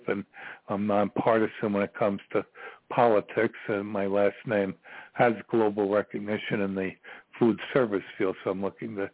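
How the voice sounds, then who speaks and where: An older man in his seventies calling in to a radio show, spelling things out slowly in an earnest, grandiose way. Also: lowish voice, no room sound. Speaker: caller. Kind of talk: call-in radio talk. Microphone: telephone. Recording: tinny phone line.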